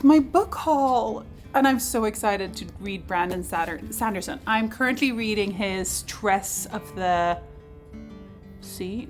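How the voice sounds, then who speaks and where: Chatty woman vlogger. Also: mid-range voice. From Sweden. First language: Swedish. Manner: chatty, excitable, laughs often. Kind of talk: casual chat to camera.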